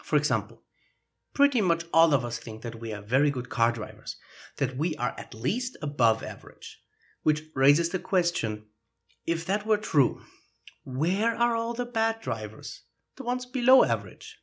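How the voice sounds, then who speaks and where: A man hosts his own podcast alone, speaking English with a foreign accent. His voice is husky. His pitch moves a lot, and he explains things in a reflective, thoughtful way. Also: sing-song, moderate pace. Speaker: podcast host. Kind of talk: solo podcast monologue.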